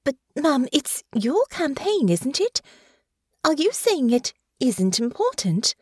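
An eager young noblewoman, as a woman puts her on, pitched up and lively, tripping over her own words when pressed. Her earnestness runs through every line. The sound is close and dry. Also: no room sound, full character voice. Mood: earnest, flustered. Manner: eager, halting, stumbling restarts.

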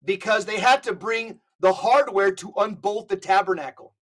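A man's voice: sits high, moderate pace, fairly bright tone. A man speaking as a podcast guest in emphatic conversational commentary, earnest and prone to restarting phrases. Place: California.

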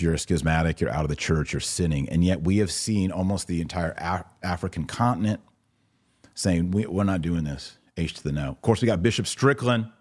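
Deep voiced guy doing casual podcast commentary, slangy, leans hard on key phrases and repeats them. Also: darkish tone.

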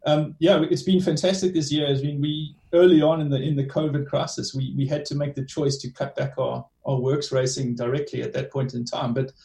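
A man with a South African accent, a team director answering interview questions in a measured way and often restarting his phrases. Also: mid-range voice, flat delivery, gravelly, dark tone. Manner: measured, restarts phrases with many "you know"s. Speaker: motorsport team director, guest. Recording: echoey room, distant from the mic.

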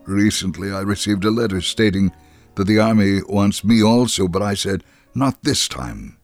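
An actor playing a middle-aged Israeli army veteran and father, telling his story in a measured dramatic reading. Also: lowish voice, lively intonation, faint music behind. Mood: steady, resolute. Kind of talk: dramatic first-person reading.

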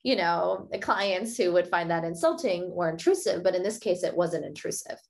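A woman, an author being interviewed, giving upbeat conversational advice in short brisk points and laughing often as she explains. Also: lowish voice, lively intonation, vocal fry.

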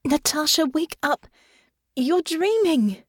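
A woman narrator voicing a gentle, caring young woman in an audiobook, soft and soothing but earnest. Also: sits high, animated, takes it slowly, dry recording, clean studio sound, voice pushed up. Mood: startled, urgent. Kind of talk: audiobook character acting.

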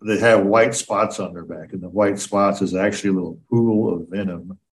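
A man's voice: low voice, fairly even intonation, moderate pace, rough recording. A man co-hosting a casual talk show, chatting about odd news with wry, joking interjections.

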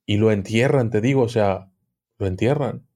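A man with a Mexican accent speaking as an interview guest, explaining things conversationally and haltingly, often repeating words. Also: lowish voice, darkish tone. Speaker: podcast guest, occultism expert.

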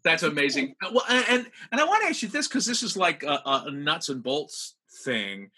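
Man, interview host, very bright forceful tone, raspy edge, higher-pitched, animated and rambling. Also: normal speed. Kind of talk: casual conversational interview.

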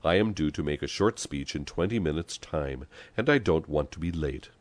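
A man voicing a thin, middle-aged gentleman in a story, polite, mild and calmly courteous. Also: extremely low pitch, dry recording. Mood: polite, earnest. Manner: quiet, courteous, measured.

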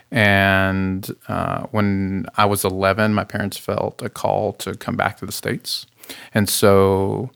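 A man in his thirties, a therapist and youth pastor, talking earnestly about his background in a relaxed interview. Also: fairly low pitch, vocal fry. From Chile. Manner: earnest, relaxed storytelling with frequent fillers. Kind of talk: casual interview talk.

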